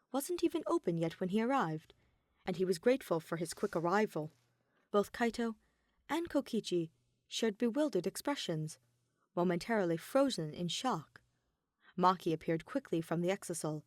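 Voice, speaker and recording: Expressive woman narrating a story, dry sound. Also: medium pitch, no room sound. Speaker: podfic reader. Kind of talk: dramatic scripted fan fiction narration.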